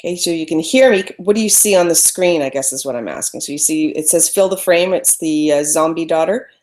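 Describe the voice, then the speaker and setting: A woman with a fairly low voice hosts a live online class. She talks casually into her computer mic, thinking aloud as she fixes her screen share. Her voice is loud and friendly in a loose, unscripted way. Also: fairly quick. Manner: chatty, apologetic, talks through screen-sharing trouble. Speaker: webinar presenter teaching photography. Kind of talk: casual live webinar teaching.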